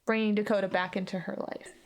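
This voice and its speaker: A woman's voice: mid-range voice, little pitch movement, quick pace, background noise. A woman casually improvising her character's story in a tabletop roleplaying game, hesitant and informal. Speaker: player on an actual-play podcast.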